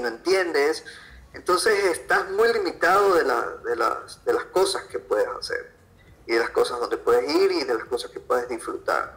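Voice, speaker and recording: A man's voice: medium pitch, bright, forceful tone, low-quality recording. A man with an Ecuadorian accent, answering conversationally as an interview guest. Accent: Ecuadorian Spanish. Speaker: podcast guest, freelance photographer.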